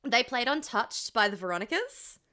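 The stuffed-up, sore-throated Australian woman on a chatty comedy podcast, bright-voiced, swearing and poking fun at herself like she's catching up with a mate after a big weekend. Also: on the high side, sing-song, normal speed. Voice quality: slightly stuffy, congested. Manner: playful, self-mocking, sweary. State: sore throat from a cold night out. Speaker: podcast co-host. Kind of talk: casual comedic podcast chat.

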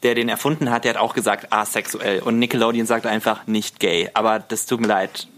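A man's voice: fairly low pitch, steady tone, brisk, a bit bright, slight background noise. A man with a German accent, chatting casually as a guest on a podcast.

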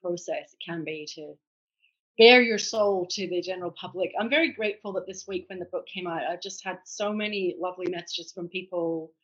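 A woman's voice: lowish voice, a little fast, a bit bright, husky, highs cut off. A Scottish woman in her forties, an author telling her story candidly and reflectively in a podcast interview. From Scotland. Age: 40s. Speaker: author guest.

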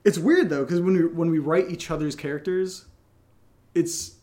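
A man co-hosting a podcast in casual chat, even-keeled, with dry, teasing humour. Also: a little high, moderate pace. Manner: level-headed, even-keeled. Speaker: co-host of a horror audio drama podcast, reading listener questions.

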